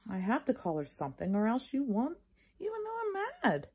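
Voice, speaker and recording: A feisty little schoolgirl from a children's book, acted by a woman. She pleads and bargains in a high, very animated voice with a husky edge. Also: brisk, full character voice. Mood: indignant. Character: feisty, scheming little first-grade girl.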